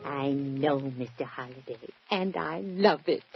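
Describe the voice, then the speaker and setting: An actress playing a meddlesome, thrill-loving older woman sleuth in a 1940s radio drama, with a husky voice and a coy, prim way of talking. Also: very deep voice, measured pace, soft background music, full character voice. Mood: excited, smug. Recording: muffled vintage 1940s radio recording.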